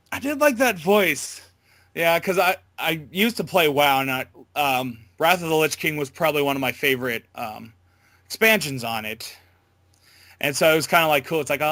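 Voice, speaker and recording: A man's voice: a little high, moderate pace, pushed and bright, no echo. A man in a casual interview, talking as an amateur voice actor in a hesitant, rambling, informal way.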